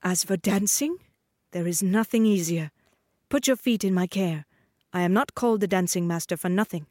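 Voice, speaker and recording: A woman voicing possessive enchanted shoes that call themselves a dancing master, smooth and commanding in a storybook reading. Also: fairly low pitch, moderate pace, a little mellow, no echo, studio quality, natural voice. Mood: coaxing.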